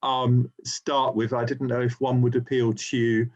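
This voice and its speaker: A man's voice: medium pitch, little pitch movement, a little slow. A man hosting an informal webinar and relaying questions from the audience, heard over a dull, lo-fi online call.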